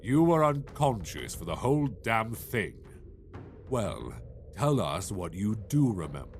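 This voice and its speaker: Man playing a deep, scornful judge. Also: big pitch swings, a little slow, slight background noise. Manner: dismissive, haughty. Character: scornful, cold, severe male judge.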